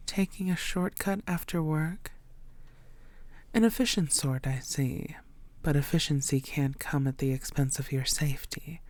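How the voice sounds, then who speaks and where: A woman playing an infatuated, predatory female vampire in an intimate audio roleplay, murmuring in a deliberate, theatrical and often breathy way. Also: low voice, a little mellow. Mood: flirtatious, concerned.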